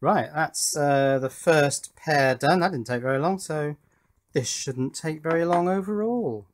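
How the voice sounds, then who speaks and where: A man's voice: a little high, measured pace. A man giving a casual narration of hands-on electronics work, thinking aloud and putting questions to himself and his viewers. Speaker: electronics hobbyist presenter.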